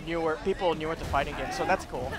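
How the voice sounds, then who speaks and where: A man's voice: a little high, quick pace, poor audio. A man co-hosting a livestream, chatting in an informal, conversational way. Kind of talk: casual chat on a livestream. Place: gaming event venue. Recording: music behind the voice. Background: music.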